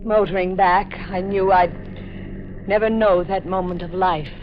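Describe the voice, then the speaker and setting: An actress playing a tormented, haunted wife, with intense, dramatic delivery in 1940s radio drama style. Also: female voice, mid-range voice, steady tone, a little slow, soft background music, close to an everyday voice. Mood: haunted, somber. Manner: dramatic, intense, clipped phrases. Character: tormented, haunted wife telling her dark story. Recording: muffled vintage 1940s radio recording.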